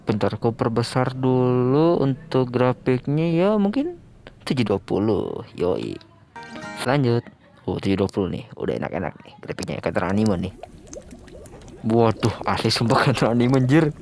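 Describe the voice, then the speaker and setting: An Indonesian man hosting a reaction video with casual, slangy, excitable commentary. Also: mid-range voice, a little slow. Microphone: close microphone at home. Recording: music behind the voice, some room noise.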